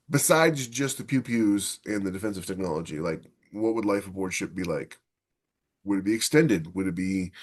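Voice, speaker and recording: Casting: male podcast host, lower-pitched voice, loose conversational delivery that works ideas out aloud. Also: a little mellow. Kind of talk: casual podcast discussion.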